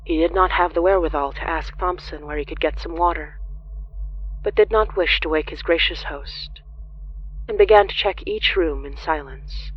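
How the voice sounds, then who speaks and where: Horror fiction narrator, scripted storytelling, dramatic and deliberate, slightly muffled sound. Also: medium pitch, husky.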